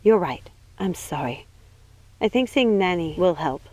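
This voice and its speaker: A woman's voice: fairly low pitch, animated, unhurried, a little mellow, dry recording. A woman voicing a worried, protective young witch in an audiobook, speaking earnestly and with care. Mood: apologetic. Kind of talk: audiobook character voice.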